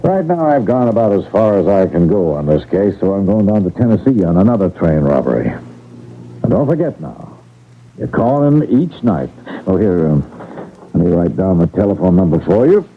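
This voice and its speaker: An actor playing a shrewd, affable railroad detective with a folksy, commanding manner in an old radio drama. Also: male voice, low-pitched, very animated, moderate pace, slight background noise. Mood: measured, confiding. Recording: tinny, narrow radio drama recording.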